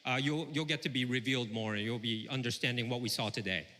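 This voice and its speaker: A man's voice: mid-range voice, quick pace, sharp tone. A man interpreting live on stage, piecing his sentences together hesitantly. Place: stage in a large event hall. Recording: echoing large hall over PA.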